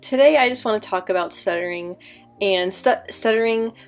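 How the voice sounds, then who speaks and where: A woman's voice: medium pitch, moderate pace, faint music behind. Young woman vlogger who stutters. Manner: frequent stuttering with repeated sounds and blocks; earnest, candid. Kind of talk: casual talk to camera.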